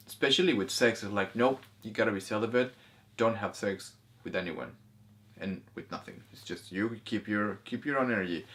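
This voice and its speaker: A man co-hosting a casual review conversation, speaking haltingly and rephrasing as he goes. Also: medium pitch. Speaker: co-host of a movie review. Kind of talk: casual conversational discussion of film and spirituality.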